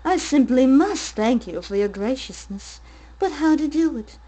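A woman voicing a flirtatious, gracious lady in a coy, teasing, drawn-out manner. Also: high voice, animated, dark tone, low-quality recording.

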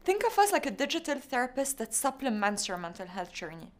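A young woman from Lebanon, a startup founder, delivering a rehearsed, measured pitch. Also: very animated. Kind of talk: scripted pitch.

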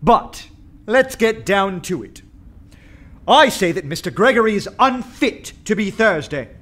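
An actor playing a bold, sardonic poet posing as a fierce anarchist, oratorical and wry in a radio play. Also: male voice, high voice, expressive, unhurried, fairly bright tone, full character voice. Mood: fiery oratory. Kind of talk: theatrical radio drama acting. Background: dramatic music.

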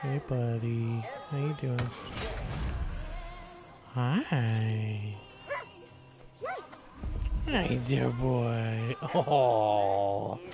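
A man's voice: medium pitch, very slow, long pauses. Guy streaming a game, takes his time, goofy repeating sing-song, swears a bit, music behind him. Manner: playful, sing-song repetition. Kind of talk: casual gameplay commentary. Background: video game music and sounds.

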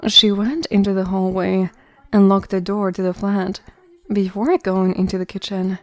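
A woman's voice: medium pitch, level tone, normal speed, dry recording, highs cut off. A woman reading scripted narration for an audio drama.

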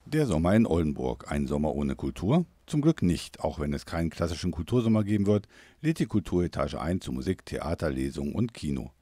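Male news voice-over, very low and dark, scripted delivery. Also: very animated, fairly quick, dry recording, studio quality. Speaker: news reporter narrator.

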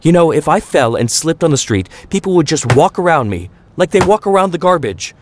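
A man's voice: sing-song, normal speed, background noise, natural voice. A man putting on a furious ranting mother. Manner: shrill, exasperated ranting. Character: furious overwhelmed mother of two, woman.

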